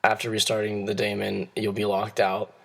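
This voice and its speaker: A hoarse young man, losing his voice, giving a class presentation and explaining steps in a halting way with frequent restarts. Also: lowish voice, completely flat delivery, fairly bright tone. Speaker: student presenter.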